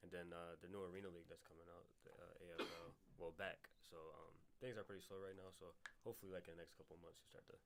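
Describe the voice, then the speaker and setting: Deep, gravelly young guest talking fast. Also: male voice, little pitch movement, heavy background noise. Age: young adult. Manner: laid-back, filler-heavy. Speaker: athlete guest. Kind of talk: casual interview chat.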